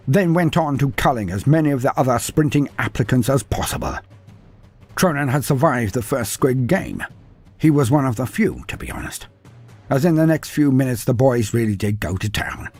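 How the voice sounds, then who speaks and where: A man narrating a scripted fantasy tale in a theatrical, deliberate storytelling manner with florid phrasing. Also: mid-range voice, animated, darkish tone, slightly rough, faint music behind.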